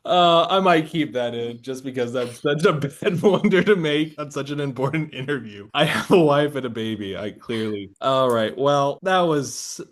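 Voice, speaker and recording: A man's voice: mid-range voice, lively intonation, normal speed, hardly any pauses. Male podcast host, self-deprecating and giggly. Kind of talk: casual chat, interviewing.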